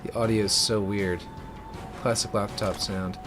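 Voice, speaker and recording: Deep-voiced man rambling on stream. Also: flat delivery, a little slow. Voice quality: fairly deep voice. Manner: rambling, many fillers and restarts. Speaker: streamer building a game map. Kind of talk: casual live-stream chat while working. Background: music.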